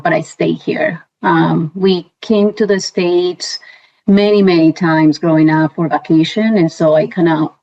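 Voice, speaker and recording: A woman's voice: deep voice, creaky. A woman from Colombia with a Colombian accent, chatting as a guest in an interview, with many fillers and restarts. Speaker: guest, compliance professional. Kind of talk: casual interview. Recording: dull lo-fi sound.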